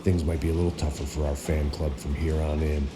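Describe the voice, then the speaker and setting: An actor playing a weary, cynical middle-aged American ex-cop bodyguard in a noir video game, deadpan and sardonic. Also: male voice, rumbling low, brisk, noisy background. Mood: wry, brooding. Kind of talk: hard-boiled noir game narration and dialogue.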